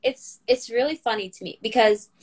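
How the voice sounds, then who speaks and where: A teenage girl speaks as a guest in a casual chat. She often pauses and stops to restart, and she talks herself down. Her voice is slightly high. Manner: hesitant, self-deprecating.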